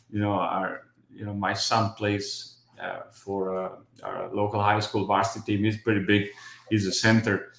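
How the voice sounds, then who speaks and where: Man, fifties, Croatian accent, rambling livestream guest, lowish voice, echoey video-call sound. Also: a bit bright, slight background noise. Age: 50s. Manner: rambling, many restarts and you-knows. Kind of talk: casual livestream chat.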